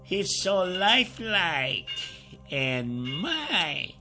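Guy doing tiny nosy gnomes, high, bright and breathy-soft. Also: big pitch swings, drawn-out words, soft background music, full character voice. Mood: marveling. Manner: hushed, marveling. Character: curious chattering little gnomes, inquisitive and fussy.

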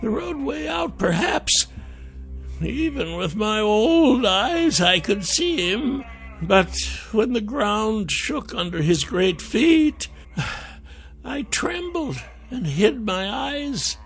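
A man voicing a frail, withered old man who is timid and crafty, with a trembling, creaky, whiny voice. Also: sing-song, takes it slowly, fairly bright tone, soft background music, full character voice. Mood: pleading. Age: older. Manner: whiny, pleading, high strained voice.

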